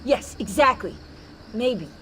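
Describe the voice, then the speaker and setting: An actress playing a blunt, edgy young technician with an informal manner in a video game cutscene. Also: female voice, fairly high pitch, animated, slow pace, fairly bright tone, a little hiss or hum, rough recording. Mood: puzzled. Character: blunt young American tech woman, edgy and irritable. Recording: clear music underneath. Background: music.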